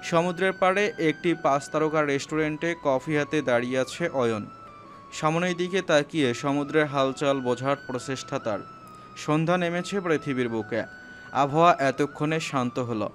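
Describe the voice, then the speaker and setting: A man's voice: fairly high pitch, moderate pace, a bit bright. A man narrating a scripted romantic story, with music playing underneath.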